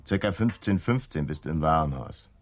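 An actor playing a cool, calculating militant gang leader with a conscience, measured and commanding, in a German radio play. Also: male voice, extremely low pitch, expressive. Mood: cool, stern. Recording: 1970s radio play, clear noise.

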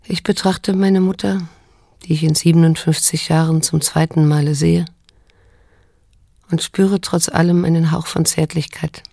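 An actress playing a wounded yet restrained middle-aged daughter in a radio drama, speaking in a measured, sober, pointed way. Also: female voice, low-pitched, flat delivery, vocal fry, warm tone, dry recording, clean studio sound. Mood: pensive, tender. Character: probing, reproachful daughter in her late fifties, wounded yet restrained. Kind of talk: German radio drama acting and narration.

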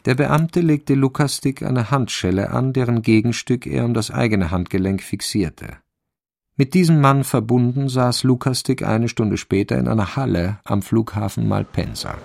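An actor as a dry, deadpan male narrator of a crime radio play. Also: mid-range voice, normal speed, creaky, no room sound, close to an everyday voice. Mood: matter-of-fact. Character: dry, wry male storyteller of a crime tale. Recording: faint music behind the voice.

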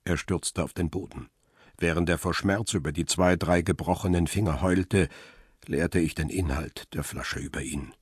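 A man's voice: low voice, moderate pace, slightly rough, no room sound, studio quality. A man playing a world-weary paparazzo who narrates his own story soberly in a radio crime drama. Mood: sober, reflective. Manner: sober, reflective.

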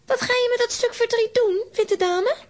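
Very high-pitched feisty little girl. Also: normal speed. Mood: cheeky. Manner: excitable, blunt. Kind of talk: children's audio drama acting.

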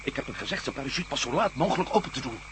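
An actor playing an excitable, urgent scientist hero in a radio play. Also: male voice, mid-range voice, racing, background noise, natural voice. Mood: thoughtful. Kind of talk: 1970s radio drama acting.

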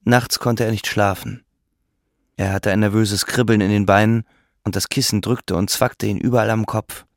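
A man's voice: fairly low pitch, fairly even intonation, normal speed, no echo, studio quality. A man reading scripted literary narration as the narrator of a German audio drama.

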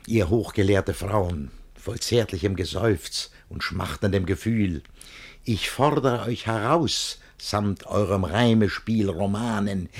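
An actor playing an excitable, bossy middle-aged master of a household in a classic radio comedy, declaiming theatrical verse. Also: male voice, on the low side, exaggerated voice. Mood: grandly defiant. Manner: theatrical rhymed verse, exclamatory, chuckling.

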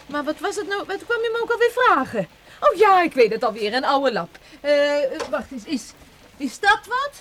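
A woman's voice: high voice, big pitch swings, normal speed, fairly bright tone. A woman playing a bustling, chatty housewife in a children's audio drama, full of folksy little interjections. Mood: resigned, chatty. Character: bustling, chatty housewife fussing over her laundry. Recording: noisy recording with clicks.

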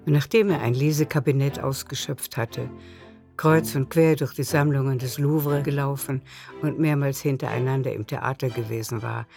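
A narrator tells a literary story in a radio play at a medium pitch. The scripted prose is carried along with music behind it. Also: steady tone, moderate pace, vocal fry.

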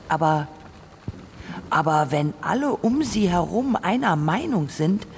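A performer voicing a reflective radio narrator who muses on robots and humans in deliberate, rhetorical phrases. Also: mid-range voice, creaky, slight background noise. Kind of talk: scripted radio essay narration. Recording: music behind the voice. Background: music.

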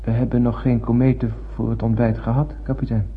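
An actor playing an eager, awestruck young sailor on a spaceship in a radio play, speaking earnestly and poetically. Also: male voice, mid-range voice, flat delivery, fairly quick, lightly acted. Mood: dutiful. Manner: earnest, poetic, literary delivery. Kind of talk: radio drama acting. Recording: muffled radio play, clear background hum.

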